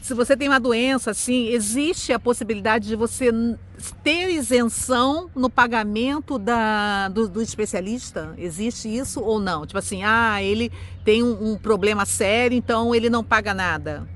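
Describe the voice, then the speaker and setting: A woman's voice: on the high side, normal speed, hardly any pauses, fairly bright tone, slight background noise. Chatty Brazilian woman, vlog host. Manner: chatty, talks directly to her viewers. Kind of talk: casual interview chat.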